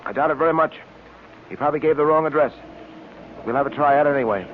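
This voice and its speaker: An actor playing a brisk, shrewd, commanding police detective in an old radio drama, decisive and clipped. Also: male voice, on the high side, close to an everyday voice. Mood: grim, deductive. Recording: old narrow-band radio recording with hiss.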